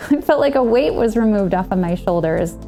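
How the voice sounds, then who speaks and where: A woman's voice: mid-range voice, lively intonation, normal speed, dark tone, soft background music. A woman in her thirties earnestly telling her own story as a client and coach, in a scripted testimonial. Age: 30s.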